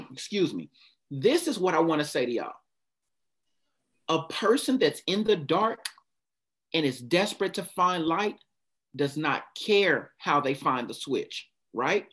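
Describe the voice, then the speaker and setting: A man's voice: on the high side, big pitch swings, slow, fairly bright tone. A man giving a passionate motivational talk, stopping often to ask his listeners questions. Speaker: speaker leading a group talk.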